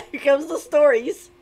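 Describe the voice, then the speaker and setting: A woman's voice: high-pitched, animated, slow, slight background noise. A woman giving casual live commentary as a game streamer, with giggly, effusive reactions. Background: game music.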